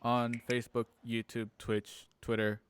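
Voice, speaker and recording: A man's voice: mid-range voice, completely flat delivery, measured pace, slight background noise. A man hosting a casual livestream sign-off, thanking and addressing viewers. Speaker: producer and host.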